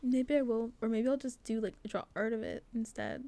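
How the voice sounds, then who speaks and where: A young woman talks in a high, soft-edged, mellow voice. She rambles casually and slangily, with a giggly manner, as a video creator narrating a personal devlog. Faint music plays underneath. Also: moderate pace. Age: young adult. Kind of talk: casual chat voice-over. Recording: music behind the voice. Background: background music.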